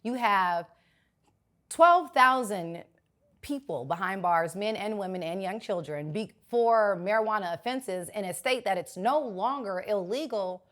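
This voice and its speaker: A raspy-voiced woman in her forties from Los Angeles, a guest in a casual interview who gets animated and jumps high when she protests. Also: unhurried. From South Central Los Angeles.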